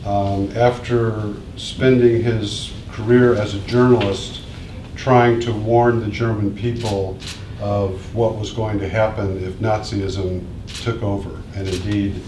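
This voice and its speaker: A man from the Midwest giving a prepared speech as an invited speaker, measured and pausing often. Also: on the low side, level tone, noisy background. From American Midwest. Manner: measured, reads from prepared remarks with pauses. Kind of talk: prepared speech at a public event. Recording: echoey room, mic at a distance.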